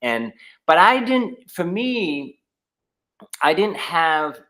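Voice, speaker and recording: Bright-voiced Boston man telling stories. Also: on the high side, very animated, drawn-out words, poor audio. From New England, United States. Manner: rambling, many restarts and fillers. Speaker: guest. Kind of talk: casual podcast chat.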